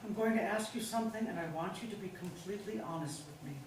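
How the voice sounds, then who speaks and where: A woman's voice: medium pitch, fast, close to an everyday voice. A woman playing a manipulative middle-aged pharmacist's wife in a stage play reading, coaxing and insistent with false sweetness. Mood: probing, insistent. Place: indoor staged reading, mic far off. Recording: very echoey room, distant mic, faint noise.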